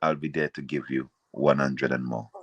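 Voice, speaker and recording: A man's voice: rumbling low, fairly even intonation, moderate pace, creaky, no room sound, muffled recording. A man with a Jamaican accent chatting casually as a singer being interviewed and promoting himself.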